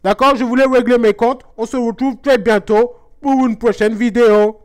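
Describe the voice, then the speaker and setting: A man puts on a rambling Guadeloupean mother with a very high, smooth voice. Her explanations of the video game are earnest and innocently wrong. The voice is pitched far up and kept clear. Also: fairly even intonation, normal speed. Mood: chatty. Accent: Guadeloupean French. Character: chatty middle-aged Guadeloupean mother, naive about games. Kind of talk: comic gameplay commentary in character.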